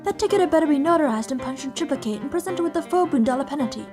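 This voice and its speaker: A woman's voice: high-pitched, animated, racing, over music. A woman voicing a sardonic, violent, work-shy bureaucrat, brash and wordy, in a fan radio play. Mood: brusque sarcasm.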